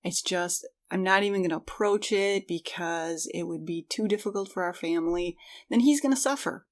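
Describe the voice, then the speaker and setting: A woman's voice: on the low side, normal speed. Encouraging woman chatting earnestly. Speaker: podcast co-host. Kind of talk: casual reflective conversation.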